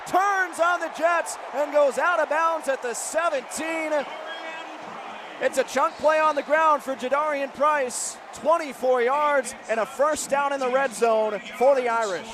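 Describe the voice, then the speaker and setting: An American man calling live football play-by-play on the radio, describing each move and building to excited calls. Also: very high voice. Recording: clear stadium noise behind broadcast commentary. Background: outdoor stadium ambience.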